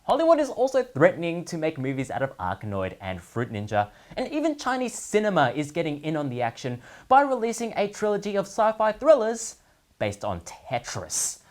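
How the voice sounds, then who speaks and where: A woman's voice: on the low side, wildly swooping pitch, normal speed, a little mellow. A woman presenting scripted satirical commentary with a sardonic, wry delivery. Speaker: presenter of a comic opinion segment.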